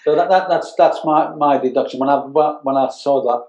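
An older man from northeast England with an English accent, talking casually as an interview guest, explaining haltingly and repeating words and phrases. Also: fairly high pitch, a little mellow, lo-fi sound. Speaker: interview guest, advertising art director. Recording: roomy, echoing sound.

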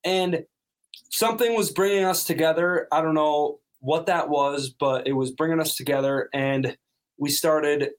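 Outgoing young man, slightly high voice. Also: steady tone, a little slow. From North Dakota. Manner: outgoing, upbeat storyteller. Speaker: band member guest. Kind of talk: casual interview answers.

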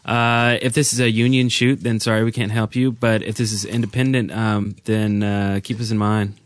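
A man's voice: on the low side, level tone, normal speed, dry recording. A man co-hosting a podcast, speaking in an easygoing, informal, casual way. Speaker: podcast co-host. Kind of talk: casual chat.

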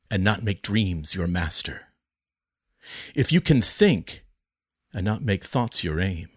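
A man giving a solemn, deliberate dramatic recitation in the style of a poetry reading, with emphatic pauses. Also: fairly low pitch, fairly bright tone, no echo. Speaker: poetry reader. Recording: close dry voice over background music. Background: music.

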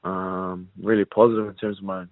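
A young man from New Zealand, a rugby league player, chatting as a guest in a relaxed, halting way with many fillers. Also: deep voice, no echo. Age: young adult. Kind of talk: casual interview chat. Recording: dry, phone-like narrow sound.

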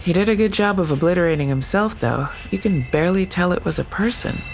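An actress playing a plain-spoken, persistent police investigator in an audio drama, matter-of-fact and inquisitive. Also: female voice, lowish voice, expressive, fast talker, slight background noise.

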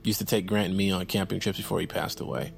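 A man's voice: low voice, fairly even intonation, fast, gravelly, faint music behind. An actor playing an awkward, earnest man in his thirties, a loyal friend who rambles and stammers, in a naturalistic audio drama. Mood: awkward, defensive. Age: 30s. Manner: rambling, stammering, self-deprecating.

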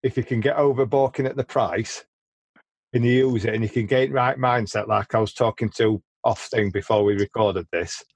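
A man hosting a casual podcast, chatty and quick to share opinions, often stumbling and repeating words as he starts a sentence. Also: fairly low pitch, husky, no room sound. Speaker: podcast host. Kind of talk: casual chat.

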